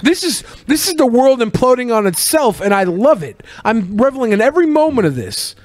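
High-pitched man in profane, emphatic banter. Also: sing-song, brisk. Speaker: podcast co-host. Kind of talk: opinionated casual banter and commentary.

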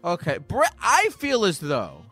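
A man hosting a casual call-in podcast, asking halting questions full of restarts and fillers. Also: high voice, huge pitch swings, fairly bright tone, no echo, soft background music. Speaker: podcast host.

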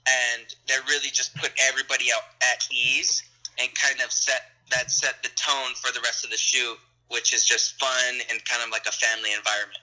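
A man's voice: mid-range voice, level tone, fairly quick, sharp tone, rough recording. A young adult man, an actor, answering interview questions in a friendly, rambling way with frequent laughs and restarts.

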